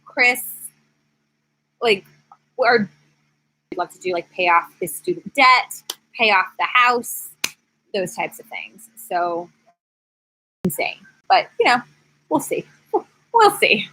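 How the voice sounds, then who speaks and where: A woman in her thirties chatting casually to viewers on a live stream, candid, animated and rambling, speaking on a screened porch. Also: medium pitch, slow pace, pauses often, a little hiss or hum, poor audio. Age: 30s. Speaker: YouTube host, former teacher.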